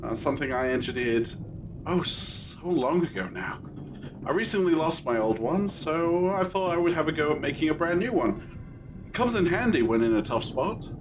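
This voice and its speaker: A man's voice: fairly high pitch, big pitch swings, normal speed. A man playing an eccentric, whimsical time-travelling adventurer in an audio drama, musing and a little self-satisfied, over noise. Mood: proud, fond. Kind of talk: scripted audio drama acting.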